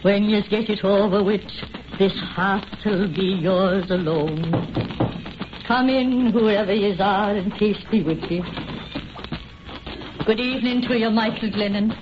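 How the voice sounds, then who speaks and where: A woman's voice: medium pitch, slow pace, highs cut off, natural voice. Woman acting a mournful old Irish mother, noisy vintage radio. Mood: mournful, resigned. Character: lonely old Irish mother, pious, superstitious, resigned. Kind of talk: old-time radio horror drama acting.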